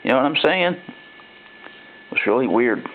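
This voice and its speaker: A man narrating a first-person horror story in a folksy, halting, conversational way, like an ordinary guy confiding. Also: mid-range voice, animated, slight background noise. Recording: thin phone-like band-limited sound.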